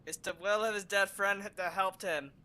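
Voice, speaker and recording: Bright-voiced woman, casual game streamer. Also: mid-range voice, steady tone, moderate pace. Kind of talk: casual live gameplay reaction. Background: faint game music.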